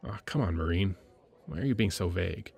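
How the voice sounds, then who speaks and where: A man playing a caring, playfully gruff military medic lieutenant in an intimate audio roleplay, with an informal, hesitant, teasing delivery. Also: low-pitched, a little fast, darkish tone, full character voice. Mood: frustrated, teasing.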